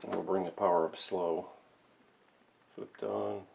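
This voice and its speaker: Low-voiced older man, gravelly. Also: fairly even intonation, measured pace, noisy background. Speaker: host of a vintage radio restoration channel. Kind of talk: casual hobby workshop vlog. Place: cluttered indoor home workshop.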